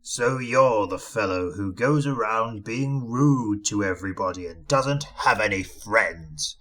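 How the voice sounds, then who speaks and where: Casting: regal goblin monarch for a children's story, acted by the narrator, stern, measured, commanding. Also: mid-range voice, animated, fairly bright tone. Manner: measured, authoritative. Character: stern, lordly male king of the goblins.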